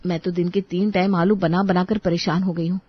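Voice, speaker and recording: A woman playing a polite but exasperated young daughter-in-law in a dramatized story, expressive in the way she speaks. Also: on the low side, a little fast, husky, no room sound, muffled recording. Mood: fed up. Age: young adult.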